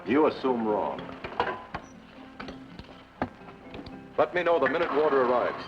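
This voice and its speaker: An actor playing a suave, menacing outlaw who talks in a smooth, sardonic, commanding way, in 1940s radio acting. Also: male voice, medium pitch, very animated, fast, close to an everyday voice. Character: suave menacing outlaw brother, cold and mocking. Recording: muffled old radio broadcast with music.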